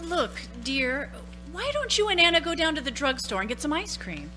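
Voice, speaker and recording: A woman's voice: high-pitched, big pitch swings, moderate pace, bright, forceful tone, soft background music, exaggerated voice. A woman playing a polite, concerned housewife and mother in a radio play, motherly and a little hesitant. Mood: uneasy.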